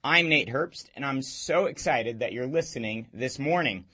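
Man, hoarse and raspy, husky tone, earnest radio host, explanatory talk. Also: medium pitch, animated, moderate pace, bright, forceful tone. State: hoarse from a cold.

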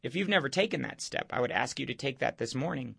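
A male radio show host, hoarse this morning, speaking in an earnest, preaching way. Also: medium pitch, lively intonation, fast talker, sharp tone, no echo, clean studio sound. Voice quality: gravelly. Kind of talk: evangelistic radio talk.

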